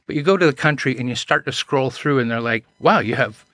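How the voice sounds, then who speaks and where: A man's voice: mid-range voice, brisk, fairly bright tone, no room sound. A man, a podcast guest telling anecdotes in an earnest, self-deprecating way. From Canada. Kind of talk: casual chat, storytelling.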